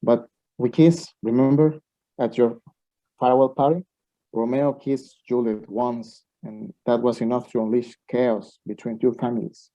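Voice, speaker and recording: A man's voice: medium pitch, fairly even intonation, a little slow, dark tone, lightly acted. A man playing a blunt, competitive, lovestruck young student in a radio play, challenging those around him. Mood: jealous, insistent. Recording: dull muffled lo-fi recording.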